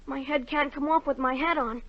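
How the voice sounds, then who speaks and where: A man's voice: very high-pitched, steady tone, fairly quick. A young male actor playing a polite, earnest, worried boy in a 1940s radio play. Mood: worried, pleading. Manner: deferential, plaintive. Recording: dull 1940 radio recording.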